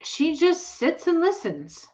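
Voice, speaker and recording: A woman's voice: extremely high pitch, big pitch swings, slow pace, a little mellow, muffled recording, voice pushed up, heavily acted. A woman reading the part of a worried, puzzled farm mother in a storybook.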